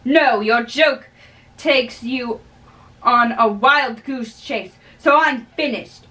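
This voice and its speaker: Young woman, amateur play reader, slow and halting, bright forceful voice, picked up from across a bedroom. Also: fairly high pitch. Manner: halting, stumbles over words. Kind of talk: dramatic reading of a play in modern English. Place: small bedroom, indoors. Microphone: far camera. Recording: distant microphone in a room.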